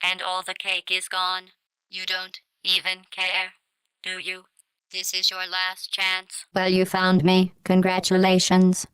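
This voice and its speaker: A woman playing a coldly polite, menacing artificial intelligence in a video game, deadpan and formal, with a synthetic voice flattened to a monotone. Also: takes it slowly, clear, resonant tone, no echo, low-quality recording. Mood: accusing, threatening, then coolly sardonic. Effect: synthetic robot voice, pitch flattened to monotone. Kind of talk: scripted video game voice lines.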